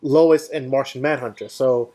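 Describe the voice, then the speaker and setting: A man's voice: medium pitch, steady tone, normal speed, dark tone. A man hosting a casual film discussion, explaining his view and putting questions to the panel. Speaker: host of a film discussion show.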